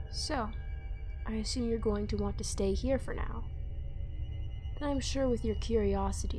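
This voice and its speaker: A woman's voice: mid-range voice, darkish tone, lightly acted. A woman playing a cold, obsessive plague doctor creature in a horror roleplay, speaking in formal, old-fashioned, condescending phrases. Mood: composed, instructive. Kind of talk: scripted horror ASMR roleplay.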